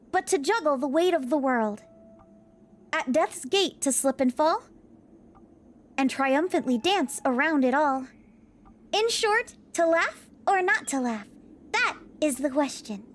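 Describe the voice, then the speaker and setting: A woman's voice: high voice, slight background noise. A woman voicing an earnest, proper young girl adventurer in rhyming, theatrical lines for a fantasy game fan dub. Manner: theatrical, rhyming storybook delivery.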